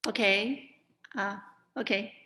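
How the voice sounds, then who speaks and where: A woman's voice: medium pitch, steady tone, takes it slowly, bright, forceful tone. Female host, hesitant and friendly-paced, echoey call audio. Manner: hesitant, with many fillers and light laughs. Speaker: forum organizer and host. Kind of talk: closing remarks on a video call.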